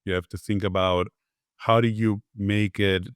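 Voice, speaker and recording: A man from Greece answers an interviewer's questions in an easygoing way, pausing on fillers and restarting his sentences. His voice sits low and sounds smooth and resonant. It is recorded dry and close. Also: flat delivery, no room sound. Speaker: podcast guest, agency founder.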